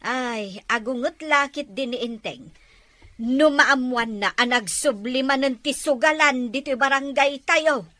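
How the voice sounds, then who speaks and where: A curious village neighbor with an Ilocano accent, played by a woman, speaking slowly in a high, bright voice. The sound is dry and close. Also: dry recording. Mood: gossipy. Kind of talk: comic drama acting.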